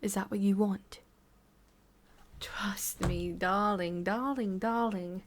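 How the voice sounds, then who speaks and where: A woman with an English accent playing a sadistic, condescending female demon boss in an ASMR roleplay, speaking deliberately and slipping between soft voice and whisper. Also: mid-range voice, fairly even intonation, slight background noise, lightly acted. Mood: coldly threatening.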